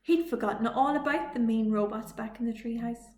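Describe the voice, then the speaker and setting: A woman reading a children's story aloud as a librarian, with expressive storytime narration. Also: a little high, a little fast. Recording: echoey room, faint noise.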